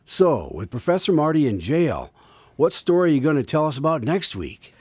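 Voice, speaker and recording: A man's voice: on the high side, sing-song, moderate pace, a little mellow, slightly rough, no room sound. A man playing the eager, friendly host of a radio mystery drama, presenting in an old-time announcer style. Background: guitar music.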